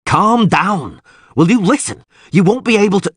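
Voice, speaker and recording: A man voicing a desperate, forceful male monster hunter in an audiobook, shouting and pleading insistently. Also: high voice, lively intonation, normal speed, breathy edge, no room sound, studio quality, pitched above the performer's own voice, heavily acted. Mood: urgent. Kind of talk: audiobook character voice.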